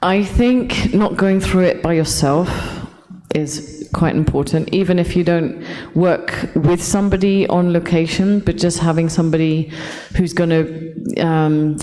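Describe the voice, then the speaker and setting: A woman's voice: lowish voice, unhurried, warm tone. A woman giving a thoughtful answer as a panelist in a discussion. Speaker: panelist, media professional.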